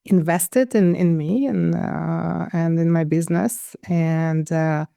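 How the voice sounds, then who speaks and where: A woman's voice: fairly low pitch, steady tone, takes it slowly, vocal fry, warm tone, no echo, clean studio sound. A middle-aged woman from Ukraine, a guest telling her life story in an interview, hesitant and full of restarts. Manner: hesitant, with many restarts and fillers. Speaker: guest, conflict consultant.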